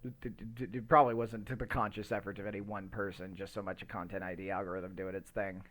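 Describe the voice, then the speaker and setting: A man's voice: on the low side, little pitch movement, fast talker, a bit bright. A man hosting a casual podcast and chatting to a live stream, with a rambling, stammering delivery and loose, sweary language. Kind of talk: casual unscripted podcast chat.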